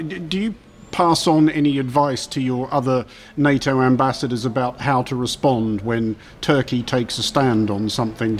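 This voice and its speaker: A man asking interview questions as a reporter, in a probing, wry way. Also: mid-range voice. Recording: faint background noise.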